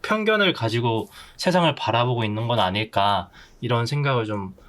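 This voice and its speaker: A man on a podcast panel, talking casually in a reflective, halting manner. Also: medium pitch, expressive, a bit bright.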